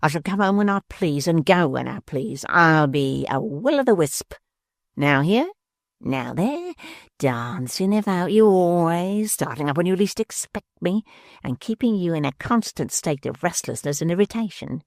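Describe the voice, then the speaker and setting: A man's voice: fairly high pitch, big pitch swings, moderate pace, gravelly, no echo, clean studio sound. A man voicing a spiteful, bullying male dwarf in an audiobook, sneering and menacing. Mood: mocking. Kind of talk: audiobook character voice.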